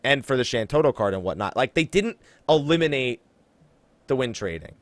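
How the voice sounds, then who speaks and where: A man's voice: medium pitch, lively intonation, normal speed, no echo. A man hosting a gaming podcast in casual conversation, teasing and bantering with his co-host.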